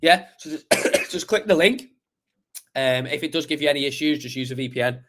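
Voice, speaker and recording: Chatty man with a croaky voice. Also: on the high side, normal speed, fairly bright tone. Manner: chatty, rambling, many fillers and restarts. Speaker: crypto livestream host. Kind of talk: casual live chart analysis.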